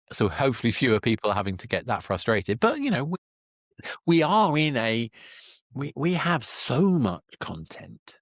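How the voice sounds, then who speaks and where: A man's voice: fairly low pitch, dry recording, studio quality. A man hosting an interview podcast, talking conversationally in a thoughtful, wordy way that circles around his point. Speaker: podcast host interviewing a guest.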